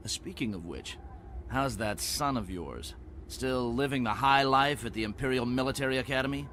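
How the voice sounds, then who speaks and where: An actor playing a blustering, suspicious rebel in an audio drama, with a sneering, needling way of talking. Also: male voice, mid-range voice, animated, moderate pace, fairly bright tone, a little hiss or hum.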